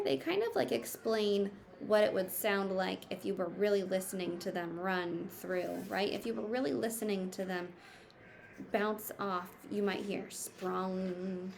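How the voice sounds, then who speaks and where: Slow-paced woman reading a storybook aloud. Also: medium pitch, gravelly. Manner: expressive storytelling, explains simply to children. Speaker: teacher reading aloud to pupils. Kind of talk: picture book read-aloud with teaching asides.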